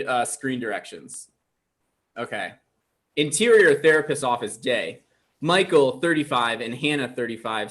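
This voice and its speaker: A man informally hosting a table read and reading out the screen directions, a little halting. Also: medium pitch, fairly bright tone. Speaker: writer and stage-direction reader.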